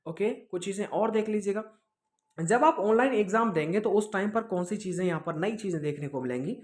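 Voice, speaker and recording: A man's voice: sits high, expressive, fairly quick. A man teaching exam students informally online, explaining things with repetition and check-in questions, mixing in English words. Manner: explanatory, repeats himself, with check-in tags; mixes English words into speech.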